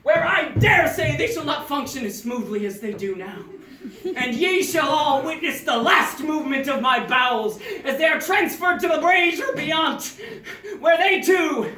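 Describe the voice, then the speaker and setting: A man playing a terrified condemned prisoner who feigns calm, stammering through a theatrical, old-fashioned comic monologue on stage. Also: very high voice, exaggerated voice. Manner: stammering, halting, mock-archaic bluster. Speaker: stage actor. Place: indoor theatre stage, recorded from afar. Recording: roomy distant stage recording, some noise.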